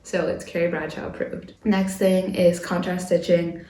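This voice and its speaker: A woman's voice: fairly low pitch, flat delivery, normal speed, warm tone. A young woman in her twenties, talking through fashion trend picks in a chatty vlog while recovering from a cold. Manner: chatty, lots of "like" fillers. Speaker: fashion vlogger. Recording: echoey room.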